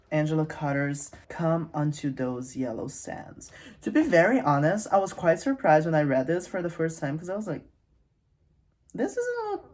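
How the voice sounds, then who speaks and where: Woman, low pitch, book commentary host, conversational, wry humour, irreverent. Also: darkish tone. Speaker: host of a literary channel. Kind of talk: casual humorous commentary on literature.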